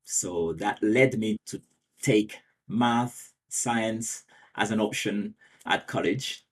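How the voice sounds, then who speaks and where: Husky low-voiced man. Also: slow. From Haiti, grew up partly in France. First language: Haitian Creole. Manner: soft-spoken, careful, occasional non-native phrasing. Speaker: guest, language teacher. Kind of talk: podcast interview conversation.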